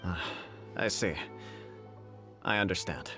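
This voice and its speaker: An actor playing a dignified, courteous samurai official in a video game, with formal and polite delivery. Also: male voice, low-pitched, slow pace. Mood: gracious. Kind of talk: scripted video game dialogue. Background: faint video game music.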